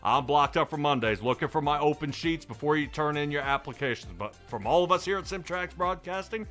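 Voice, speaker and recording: A man's voice: on the high side, expressive, a little fast, fairly bright tone, faint music behind. A man hosting a sim racing broadcast, interviewing a driver and rambling upbeat commentary with many restarts. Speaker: race broadcast host.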